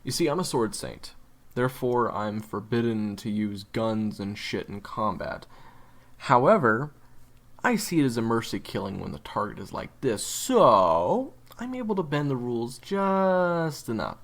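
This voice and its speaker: Man acting brash cocky young hunter, swooping pitch. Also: big pitch swings, unhurried. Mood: mocking, cocky. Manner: slangy, sarcastic, theatrical. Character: brash, crude, cocky young male monster-hunting sword saint. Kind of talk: audio roleplay acting.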